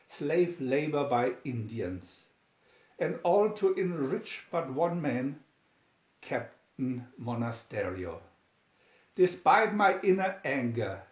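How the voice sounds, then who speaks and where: A man's voice: fairly high pitch, roomy sound, highs cut off. A man reading the part of a refined, airy young Spanish nobleman in a storybook. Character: Don Diego, airy young Spanish nobleman feigning foppish timidity.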